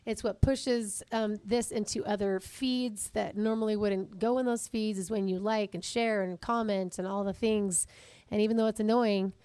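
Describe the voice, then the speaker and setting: Husky-voiced woman hosting. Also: medium pitch, steady tone, normal speed, mellow, no echo. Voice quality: husky, raspy. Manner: chatty, self-deprecating, laughs often. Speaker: podcast host. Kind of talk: casual chat.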